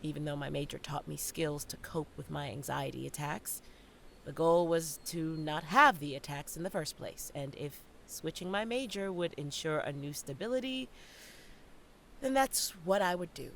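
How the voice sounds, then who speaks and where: A young woman playing a stressed, self-questioning theater student in a dramatic monologue, with theatrical, deliberate delivery. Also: deep voice, vocal fry, fairly bright tone, a little hiss or hum. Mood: reflective, uneasy. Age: young adult. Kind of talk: dramatic monologue in an audio play.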